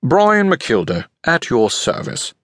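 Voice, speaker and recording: A portly old manuscript expert for an audiobook. He is pompous and courteous, with a breathless wheeze and rolled Rs. His pitch swoops theatrically, and the recording is close and dry. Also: huge pitch swings, slow pace, fairly bright tone, no echo, exaggerated voice. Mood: jovial. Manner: pompous, emphatic, rolls his R. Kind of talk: audiobook character voice.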